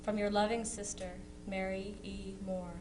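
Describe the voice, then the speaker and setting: A woman's voice: mid-range voice, little pitch movement, a little slow, noisy background, close to an everyday voice. A woman playing a contented young nineteenth-century sister, reading her letter aloud. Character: contented young 1860s woman writing cheerfully to her brother. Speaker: amateur reader in a historical society dramatization.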